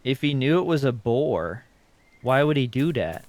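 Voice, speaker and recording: Male streamer, animated pitch, crude jokey banter, mic running a little hot. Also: unhurried. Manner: joking, swearing, playful banter with chat. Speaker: game streamer. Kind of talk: casual live gameplay chat. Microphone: slightly overdriven streaming mic.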